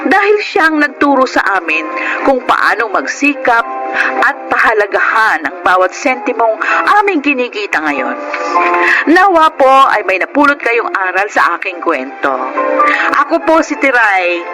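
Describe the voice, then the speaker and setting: A woman playing a grateful, good-humoured grown daughter in a Filipino radio drama, respectful and gently teasing. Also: a little high, big pitch swings, a little slow, low-quality recording. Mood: reflective, grateful. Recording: radio drama with music underneath.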